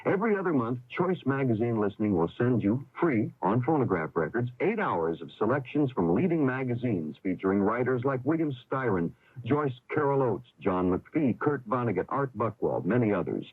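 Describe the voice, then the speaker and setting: A man reading a scripted public service announcement on radio in a deliberate, enunciated manner. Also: mid-range voice, sing-song, slightly rough. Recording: tinny old radio recording.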